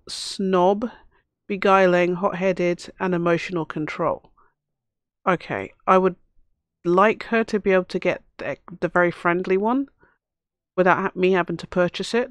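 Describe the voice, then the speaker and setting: Hoarse woman gamer, casual running commentary, chats to her game characters, dry close sound. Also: fairly low pitch, normal speed, dry recording. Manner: chatty, talks to the game characters. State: hoarse from a cough. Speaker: let's play host.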